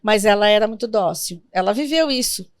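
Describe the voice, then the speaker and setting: A Brazilian woman in her fifties, a guest in an interview, telling family stories in a reflective, gentle way. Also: mid-range voice, lively intonation, fairly quick, no echo. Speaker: guest, sworn translator. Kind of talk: interview conversation.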